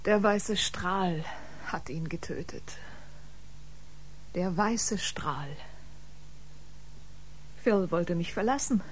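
An actress playing an unhinged, jealous female killer in a science-fiction radio drama, theatrical and halting, lapsing into whispers. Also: lowish voice, slight background noise, poor audio. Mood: bitter, menacing. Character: unhinged, jealous woman bio-musician, confessed killer.

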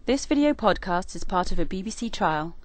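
A woman reading a scripted announcement in a brisk, neutral way. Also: medium pitch, expressive, a little hiss or hum.

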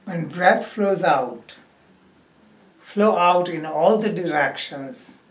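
A man teaches guided meditation at an extremely slow pace. He speaks instructively in short phrases separated by pauses. The recording is echoey, with the microphone some distance away. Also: high voice. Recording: roomy echo, distant microphone.

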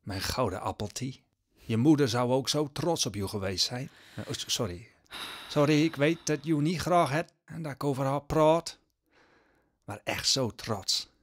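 An actor playing a worried old peasant father in an audio drama, halting and rustic, pleading with exasperation. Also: medium pitch, natural voice. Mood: tender, hesitant. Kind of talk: Dutch audio drama acting.

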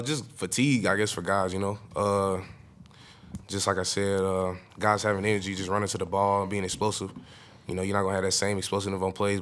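A young man, a college football player, earnestly answering press questions after a game in an informal way, with many "uh"s. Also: low-pitched. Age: young adult. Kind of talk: postgame press conference answers. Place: press room in front of a logo backdrop. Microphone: table mic on a stand.

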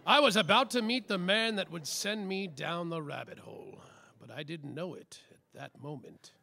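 An actor playing a world-weary, boozy, sarcastic private detective in a slapstick noir radio mystery, with a wry, dramatic delivery. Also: male voice, sits high, moderate pace, creaky, pushed and bright, full character voice. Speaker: detective and narrator.

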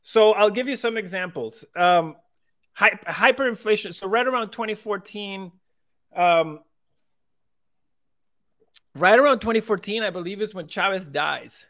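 A man's voice: high-pitched, unhurried, pauses often. A man in his thirties from Venezuela, telling his story as a guest in a casual interview over a video call with earbuds. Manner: animated, gestures while talking, frequent restarts. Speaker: crypto company co-founder, guest.

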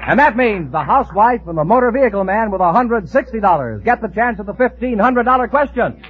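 A man's voice: high voice, lively intonation, brisk, music in the background. A man announcing and reading scripted sponsor copy in 1940s radio style. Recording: dull, lo-fi 1940s radio recording.

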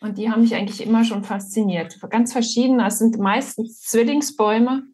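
A woman in her forties from eastern Germany with a German accent and a slightly husky voice, telling her story in a halting, reflective way. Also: a little high, steady tone, mellow. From Potsdam, eastern Germany. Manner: halting, thoughtful. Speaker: guest, author. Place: indoor room at home, on a video call.

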